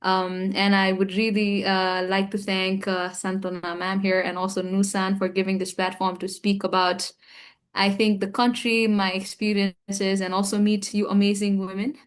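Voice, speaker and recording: Smooth, even-toned woman with Nepali accent. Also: medium pitch, moderate pace. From Kathmandu, Nepal. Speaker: guest panelist, engineer and entrepreneur. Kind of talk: informal self-introduction. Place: online video call.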